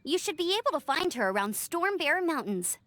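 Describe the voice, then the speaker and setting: Peppy teen knight girl in a game, high bright-eyed delivery, real animated, encouraging. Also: a little fast. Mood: earnest, friendly. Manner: bubbly, encouraging, expressive. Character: perky, friendly, upbeat teenage female knight scout. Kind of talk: scripted video game character acting.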